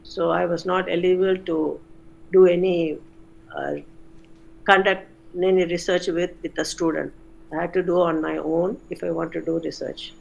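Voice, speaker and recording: Older woman, gravelly voice. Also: on the low side, flat delivery, muffled recording. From India. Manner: unhurried, many "uh" pauses, self-corrects. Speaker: guest, retired chemistry professor. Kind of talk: reflective interview answers.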